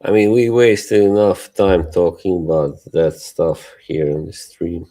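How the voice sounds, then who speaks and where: A man's voice: low voice, steady tone, a little slow, warm tone. A middle-aged man with a Bosnian accent, co-hosting a casual livestream chat with a laid-back manner and frequent chuckles. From Bosnia and Herzegovina. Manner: laid-back, chuckles often, trailing filler words. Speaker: livestream co-host.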